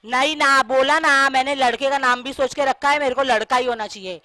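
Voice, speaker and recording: Woman playing a sharp, domineering, impatient husband. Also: sits high, fairly quick, dry recording, clean studio sound. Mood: stubborn. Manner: insistent, boastful, blunt. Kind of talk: stage skit acting in dialogue.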